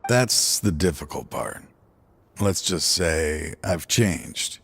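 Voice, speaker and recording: Tired regretful older villain in a video game, real low and slow, broody, husky. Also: male voice, exaggerated voice. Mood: weary, bitter regret. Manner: slow, brooding, sighing delivery. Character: weary, regretful older male villain, former partner turned selfish. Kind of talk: dramatic video game voice acting.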